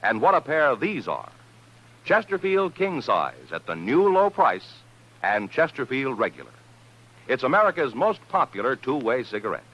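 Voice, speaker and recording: A man's voice: mid-range voice, sing-song, normal speed, slightly rough. A man delivering a 1950s radio advertisement with an emphatic, polished sales delivery. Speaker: commercial announcer. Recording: dull vintage radio recording.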